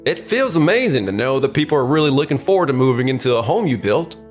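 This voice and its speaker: A man's voice: mid-range voice, very animated, fast, lightly acted. A man voicing an enthusiastic, friendly construction worker in a manga dub. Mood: upbeat. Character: upbeat, proud male construction team worker. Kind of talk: anime-style manga dub acting. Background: faint background music.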